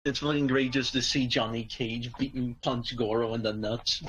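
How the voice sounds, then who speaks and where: Guy on a podcast, normal pitch, bit of background noise, chatting and cussing casually. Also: fairly even intonation, normal speed, fairly bright tone, dull audio. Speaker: podcast panelist. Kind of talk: casual chat.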